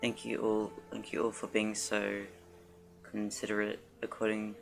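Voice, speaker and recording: Monotone, gravelly male game streamer. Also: on the low side, unhurried, low-quality recording. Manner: flat, offhand. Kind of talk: casual live-stream reactions. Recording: loud game music behind the voice. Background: video game music and dialogue.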